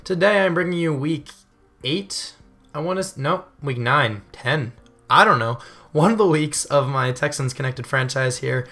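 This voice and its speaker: A young man with a voice still hoarse from illness, giving casual, rambling video game commentary and often correcting himself. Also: on the high side, animated, normal speed, sharp tone. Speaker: gaming channel host.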